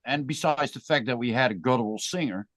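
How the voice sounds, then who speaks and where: Dutch guy chatting in an interview, rambly, thinks out loud, dry sound. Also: mid-range voice, fast, no echo. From Netherlands. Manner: thoughtful, rambling with restarts. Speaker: guest musician. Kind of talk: casual interview chat.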